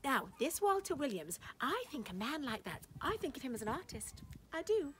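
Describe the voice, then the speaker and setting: A woman's voice: high voice, big pitch swings, normal speed, fairly bright tone, a little hiss or hum. A woman voicing a gushing, condescending society lady at a party, breathless and fluttery, with giggles and gasps, in a dramatic short-story reading.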